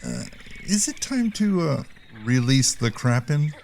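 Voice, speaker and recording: A man playing a dim, eager, childlike oddball with a halting, naive delivery in an audio comedy drama. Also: medium pitch, very animated, vocal fry, darkish tone, a little hiss or hum.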